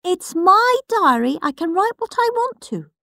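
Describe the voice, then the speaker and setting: A woman plays a very high-pitched, shrill little boy in an audiobook. He is a goody-goody, quick to take offence, and his whiny, snivelling delivery swoops up and down. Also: sing-song, vocal fry, a bit bright, dry recording, clean studio sound, full character voice. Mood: indignant.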